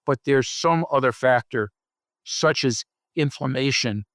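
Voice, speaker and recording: A man speaking as a physician researcher in an interview, explaining study findings in a measured, deliberate way with halting phrasing. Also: mid-range voice, a bit bright, dry recording, studio quality.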